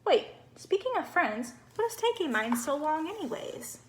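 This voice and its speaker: A woman voicing a cheerful, naive little male snowman who tells his storybook tale in a bubbly, expressive way. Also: sits high, moderate pace, slight background noise, pitched above the performer's own voice, poor audio. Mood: chatty, innocent.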